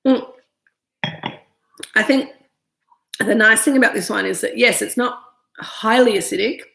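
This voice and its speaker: Snuffly Australian woman with a gravelly voice. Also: on the high side, measured pace, pushed and bright, echoey room. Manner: chatty, many ums and restarts. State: stuffy from a head cold. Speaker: winemaker hosting a live virtual tasting. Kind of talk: casual live-stream chat, reading viewer comments. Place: winery room.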